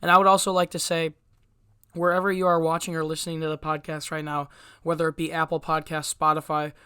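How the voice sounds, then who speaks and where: A young man runs a solo sports podcast with a congested, stuffy voice from a cold. His tone is bright and somewhat high for a man, recorded close and dry with no room sound. He talks in a loose, opinionated way, with plenty of filler words between points. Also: level tone, fast talker, clear, resonant tone, dry recording. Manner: casual, opinionated, frequent "uh" and "um". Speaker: podcast host.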